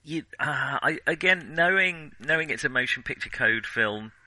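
Bloke on a film podcast, really bright crisp edge, close dry sound, hesitant chatty delivery. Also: male voice, on the high side, expressive, moderate pace, no room sound. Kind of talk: casual film discussion.

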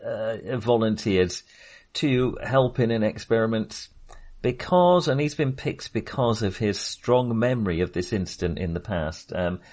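A man's voice: on the low side, expressive, measured pace, darkish tone. A man hosting a podcast and casually discussing films in a hesitant, rambling, wry way. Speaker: podcast host.

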